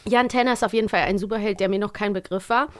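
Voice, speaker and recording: A woman's voice: mid-range voice, very fast, no room sound. A woman in her thirties from Cologne, chatting informally and jokingly as a podcast co-host. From Cologne, Germany. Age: 30s. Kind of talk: casual chat.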